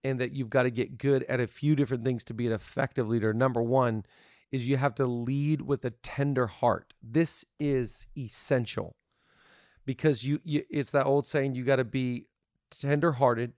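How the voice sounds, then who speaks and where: A man's voice: medium pitch, steady tone, normal speed, a little mellow, no room sound, studio quality. A man hosting a casual podcast on leadership, upbeat and playful, laughing easily with his co-host.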